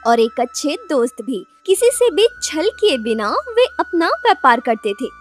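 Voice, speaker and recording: A woman's voice: high-pitched, sing-song, measured pace, faint music behind. A woman narrating a scripted children's moral story.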